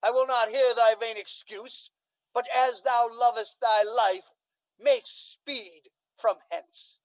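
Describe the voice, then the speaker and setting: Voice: steady tone, slow, natural voice, low-quality recording. An actor playing a wrathful, imperious duke and outraged father in a Shakespearean radio drama, declamatory and scornful. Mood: furious. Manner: declamatory, scornful, booming when angered.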